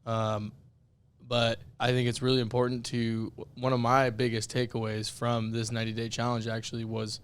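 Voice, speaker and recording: A young man with a raspy, worn-out voice co-hosts a laid-back podcast. His tone stays even and flat. Under the rasp, the sound is still smooth and clear. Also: medium pitch, normal speed. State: voice worn out from yelling. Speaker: podcast co-host. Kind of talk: casual podcast chat.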